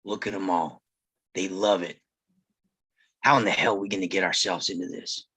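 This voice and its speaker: Man reading a weary Old West lawman, bright-edged voice. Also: low voice, little pitch movement, normal speed, slightly rough, full character voice. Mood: grim. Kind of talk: live screenplay table read.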